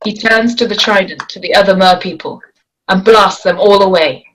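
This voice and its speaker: A woman's voice: mid-range voice, moderate pace, fairly bright tone, low-quality recording. A woman reading stage directions as scripted narration for a screenplay.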